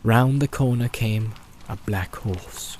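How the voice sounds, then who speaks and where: A man narrating a fantasy audiobook as a deliberate, atmospheric and suspenseful storyteller. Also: on the low side, a little mellow, slight background noise. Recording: home-made, nature soundscape mixed behind. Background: animal and nature sound effects.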